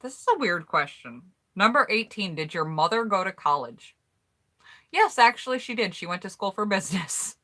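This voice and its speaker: A woman's voice: mid-range voice, animated, moderate pace, sharp tone. An American woman chatting casually on a home mic, reading out viewers' answers in an upbeat, rambling way. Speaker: YouTuber hosting a collab tag.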